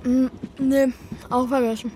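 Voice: high voice, flat delivery, unhurried, background noise. A young performer playing an earnest, worried boy who narrates his own story in a German children's radio drama. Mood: excited. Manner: earnest, plain-spoken, childlike.

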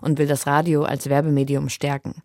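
A woman's voice: low voice, little pitch movement, a little fast, noisy background. A woman narrating a scripted radio report as a reporter.

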